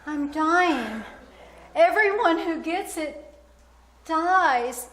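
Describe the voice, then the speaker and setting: A woman's voice: very high voice, expressive, very slow, a bit bright, heavily acted. A woman playing a frightened, dying nineteen-year-old student in a radio thriller, faltering and dramatic. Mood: terrified, fading. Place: live staged performance at a winery. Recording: echoey, faintly noisy live stage.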